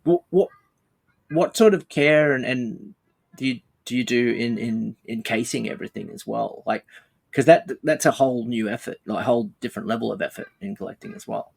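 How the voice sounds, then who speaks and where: A man hosting a podcast in casual chat, talking in a halting way and repeating words as he goes. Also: mid-range voice, animated, creaky, breathy edge. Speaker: podcast host.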